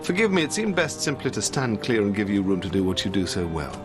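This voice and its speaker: A gentlemanly British secret agent in a game cutscene, over loud music. His British accent is genteel and courteous, and his pitch rises and dips dramatically through every unruffled, understated phrase. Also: sing-song, fast. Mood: smooth, courteous. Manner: polite, genteel, theatrically understated. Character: suave, unflappable gentleman British intelligence agent. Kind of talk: video game cutscene voice acting.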